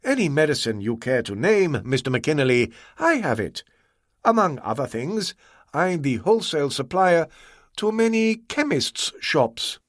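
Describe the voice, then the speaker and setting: A man voicing a suave, soft-spoken crime boss who threatens with quiet courtesy in an audiobook story. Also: fairly high pitch, very animated, measured pace, dry recording, studio quality, pitched above the performer's own voice. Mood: courteous. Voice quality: smooth, silky, quietly threatening.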